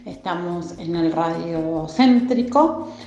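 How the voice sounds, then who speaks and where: Mellow-voiced woman librarian. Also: lowish voice, animated, echoey room, lo-fi sound. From Argentina. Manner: measured, with frequent hesitations. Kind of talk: interview testimony.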